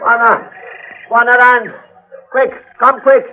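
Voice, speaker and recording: An actor playing an excited African native guide in an old radio drama, calling out urgently in clipped broken English. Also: male voice, extremely high pitch, slow, music in the background. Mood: urgent, alarmed. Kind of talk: 1940s radio acting. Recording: muffled old radio recording.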